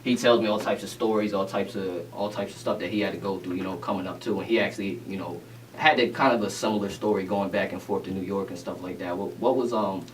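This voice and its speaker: A man's voice: deep voice, fairly even intonation, fast. A man hosting a casual radio interview, upbeat with frequent affirmations and "you know what I'm saying". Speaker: radio DJ host. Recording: distant mic, faint room noise.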